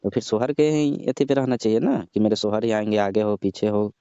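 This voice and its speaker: A man on a phone call who sounds grumbling and argumentative, with a mid-pitched, dark voice. The line is muffled and dry, like a recorded phone call. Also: little pitch movement, brisk, clean tone, dry recording. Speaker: caller. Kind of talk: scripted comedy phone call.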